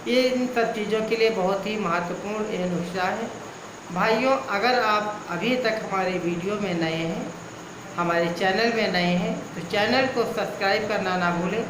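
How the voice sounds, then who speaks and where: Guy doing a home remedy talk, goes through ailments one by one, roomy echo, noisy background. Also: sits high. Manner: repetitive, lists ailments one after another. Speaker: Ayurvedic healer. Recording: echoey room with background noise.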